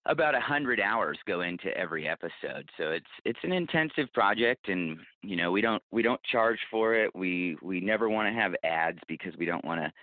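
Low-voiced man on a phone-like line. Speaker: podcast producer interviewed as guest. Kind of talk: conversational interview answers. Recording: narrow phone-like line, roomy echo.

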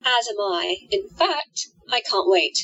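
A woman's voice: mid-range voice, expressive, takes it slowly, a bit bright, husky, dull audio, full character voice. A woman playing a wronged, determined heroine biding her time in an amateur audio drama. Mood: eager.

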